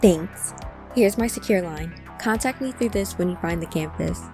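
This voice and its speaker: A woman acting an earnest, emotional hacker searching for a lost friend in a cyberpunk audio drama, with halting delivery. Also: lowish voice, over music, lightly acted. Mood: pleading, determined. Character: distressed, determined hacker woman seeking her lost friend.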